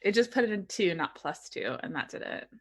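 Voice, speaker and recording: A woman's voice: mid-range voice, big pitch swings, brisk, husky. A woman playing a tabletop roleplaying game, casually narrating her character's actions and chatting.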